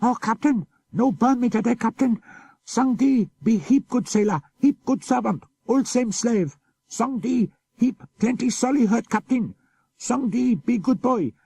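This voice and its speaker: A panicked young sailor, squeaky high and frantic, begging nonstop. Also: male voice, expressive, unhurried, vocal fry, mellow, husky, dry recording, exaggerated voice. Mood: pleading. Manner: shrill, frantic pleading in broken pidgin. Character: terrified young male sailor begging for mercy.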